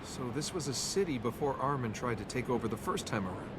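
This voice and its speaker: A man's voice: expressive, fast, lightly acted. A male actor playing a cocky, wry young adventurer in a video game, with a casual, sardonic manner. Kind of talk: natural video game character acting. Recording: game capture with rumbling ambient noise.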